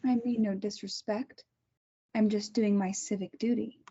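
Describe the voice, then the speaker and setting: A woman reading the part of a creepy self-styled doctor mother who sounds sweet and calm while menacing. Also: medium pitch, moderate pace, husky. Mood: sweetly menacing. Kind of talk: amateur table read. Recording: muffled lo-fi recording.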